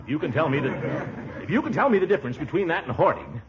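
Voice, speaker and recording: Pompous suitor, wildly swooping voice. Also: male voice, on the high side, sing-song, very fast. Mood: indignant. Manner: theatrical, blustery, chuckling. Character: pompous, blustering middle-aged suitor with a booming chuckle. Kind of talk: 1940s radio comedy acting. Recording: dull, hissy old radio recording.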